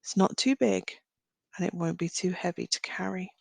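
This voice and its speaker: A woman leading a guided visualisation as a meditation guide, speaking gently in short phrases with long pauses. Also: on the low side, gravelly, no room sound. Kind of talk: guided visualisation, slow soothing narration.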